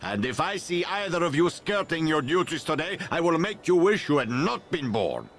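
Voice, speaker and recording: A man playing a proud, stern father and leader in a video game, gravelly, with a theatrical, commanding delivery. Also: on the high side, big pitch swings, fairly bright tone, soft background music. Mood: stern, scolding. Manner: commanding, deliberate, dramatic pauses. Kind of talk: video game cutscene acting.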